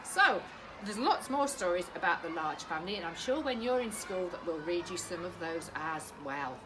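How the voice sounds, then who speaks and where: A British woman, a primary teacher, reading a picture book to young children with expressive, carefully phrased delivery. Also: lowish voice, normal speed, noisy background.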